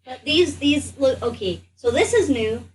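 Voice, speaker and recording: A woman's voice: sits high, expressive, a little slow. A woman improvising as a player in a tabletop roleplaying game, stammering and repeating herself as if flustered. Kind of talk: improvised in-character game chat. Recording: distant mic, faint room noise.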